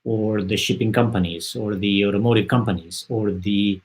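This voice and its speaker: A man from southern Spain speaks as a guest on a panel video call. His voice sits fairly low. He talks fluently, though he often restarts and fills pauses as he explains. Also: fairly even intonation. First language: Spanish. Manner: fluent, many fillers and restarts. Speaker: panel guest, technology executive. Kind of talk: conversational panel talk.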